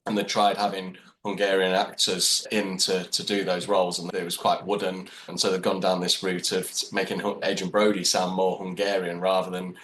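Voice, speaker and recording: A man's voice: low voice, little pitch movement, normal speed, few pauses, a bit bright, a little hiss or hum, low-quality recording. A man co-hosting a podcast, talking casually and rambling with the odd laugh. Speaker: podcast co-host. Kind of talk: casual chat.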